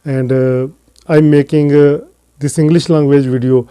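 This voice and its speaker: Hesitant Pakistani man with a very dark voice. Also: a little high, level tone, a little slow, smooth. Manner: hesitant, frequent "uh" fillers between phrases. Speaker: English-learning channel host. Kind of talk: casual unscripted talk to camera.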